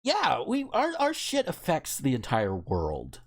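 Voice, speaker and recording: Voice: mid-range voice, wildly swooping pitch, a little slow. An American speaker in casual, opinionated political chat, speaking emphatically and swearing freely. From United States. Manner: emphatic, profane, outspoken. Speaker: podcast co-host.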